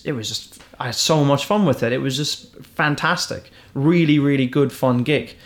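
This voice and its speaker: A man's voice: medium pitch, moderate pace. A man with an Irish accent, a stand-up comedian chatting casually and rambling through his stories with restarts. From Ireland. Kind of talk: casual chat.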